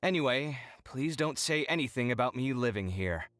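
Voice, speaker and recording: A man's voice: medium pitch, lively intonation, a bit bright, dry recording, clean studio sound, close to an everyday voice. An actor playing an exasperated fussy young man. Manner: indignant, exasperated, quick to bicker. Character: touchy young male architect, fussy and dramatic. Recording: game audio with faint music.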